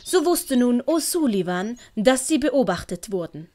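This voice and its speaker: A woman's voice: fairly high pitch, sing-song, a little slow, mellow. A woman with a Swiss German accent reading a scripted children's story as narrator. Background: dramatic music and sound effects.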